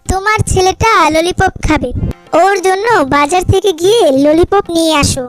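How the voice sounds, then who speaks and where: Cartoon jackal mother, played by a woman: very high voice, cruel, bossy scold, music underneath. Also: normal speed. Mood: bossy, nagging. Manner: bossy, sharp-tongued, scolding. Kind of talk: Bengali cartoon voice acting. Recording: music behind the voice.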